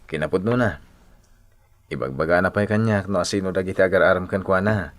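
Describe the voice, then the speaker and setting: A man's voice: low-pitched, a little fast, no room sound, studio quality, natural voice. An actor with an Ilocano Filipino accent playing a bashful young man secretly in love, in radio drama style. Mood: earnest, defensive.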